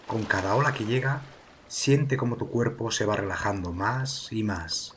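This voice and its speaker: A man with a fairly low voice leads a guided meditation. He speaks in a calm, soothing manner, leaving pauses between slow phrases. Soft sea waves wash faintly behind his voice. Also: slight background noise. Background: sea waves, water ambience.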